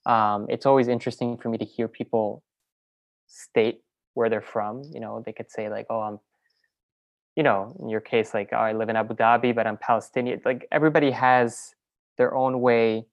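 A woman filmmaker and teacher, in a relaxed interview, who talks in loose, rambling sentences full of fillers and restarts. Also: rumbling low, normal speed, muffled recording. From French Venezuelan, raised in New York. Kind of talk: casual interview conversation.

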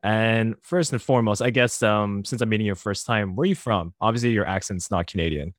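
A male podcast host who talks quickly in a slightly low voice, chatty and full of fillers. He laughs easily as he leads a casual conversation. The sound is close and dry. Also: dry recording. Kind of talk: casual interview chat.

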